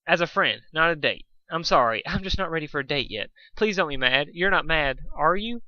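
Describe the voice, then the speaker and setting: A man's voice: a little high, lively intonation, brisk, bright, forceful tone, no echo, lo-fi sound, pitched above the performer's own voice. A man voicing a timid, apologetic young female pony in a storybook reading, hesitant and stammering.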